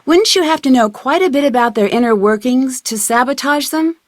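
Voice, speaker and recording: A young female sleuth from a video game, with a fairly high voice and a close, dry sound. She is polite and inquisitive, putting her questions with open curiosity. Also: lively intonation, fairly quick, no room sound. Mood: curious, friendly. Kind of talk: video game dialogue acting.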